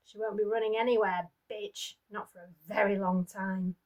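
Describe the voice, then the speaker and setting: Woman doing a cruel husband voice, snarling, taunting, loud, storybook reading. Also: mid-range voice, steady tone, normal speed, natural voice. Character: brutal, sneering abusive husband, grown man.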